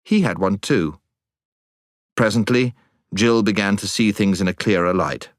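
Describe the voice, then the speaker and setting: A British man narrating a storybook as a scripted audiobook, in an expressive storytelling manner. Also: on the low side, unhurried, no echo, studio quality.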